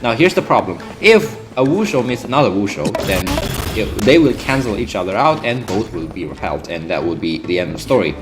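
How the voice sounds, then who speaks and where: A man's voice: fairly low pitch, animated, brisk, noisy background. A young man, chatting casually through gameplay in a rambling way, full of fillers and restarts. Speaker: gaming channel host. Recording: game music behind the voice.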